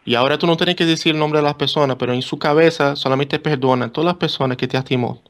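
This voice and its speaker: A man's voice: on the high side, steady tone, racing, a bit bright. A man from Brazil with a Brazilian accent, a pastor leading prayer and talking earnestly on a call-in ministry show. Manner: earnest, repeats phrases, preaching tone. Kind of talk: radio ministry talk and prayer.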